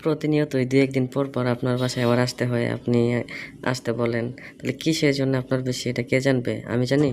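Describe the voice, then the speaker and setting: Fast-talking man, probing, on a call. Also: mid-range voice, steady tone, darkish tone. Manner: probing, questioning at length. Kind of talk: casual phone conversation. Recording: phone call recording with faint noise.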